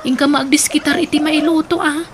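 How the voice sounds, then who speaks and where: A woman's voice: high-pitched, steady tone, brisk, noisy background, lightly acted. A woman playing a suspicious, meddling aunt in an Ilocano radio drama, admonishing and questioning in an Ilocano Filipino accent.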